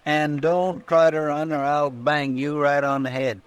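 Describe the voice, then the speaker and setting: An actor playing an eager, loyal marshal's deputy in a radio drama. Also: male voice, fairly high pitch, flat delivery, unhurried, close to an everyday voice. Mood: bossy. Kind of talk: 1950s radio drama acting.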